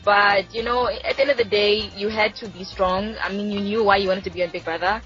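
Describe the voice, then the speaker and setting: A woman's voice: mid-range voice, fairly even intonation, a little fast, fairly bright tone. Eager young woman from Mozambique. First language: Shangana. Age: 20s. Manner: eager, casual, many "like"s. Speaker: reality show contestant, guest. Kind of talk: casual interview. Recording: dull lo-fi audio with faint music.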